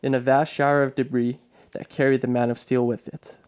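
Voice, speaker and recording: A man's voice: mid-range voice, level tone, fairly quick, a little mellow, clean tone. A man reading dramatic scripted narration for a radio play, now and then stumbling over a word. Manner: stumbles and repeats words while reading.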